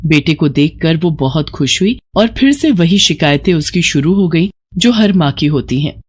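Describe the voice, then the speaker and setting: A woman's voice: low-pitched, animated, moderate pace, breathy edge. A woman narrating a scripted moral story expressively.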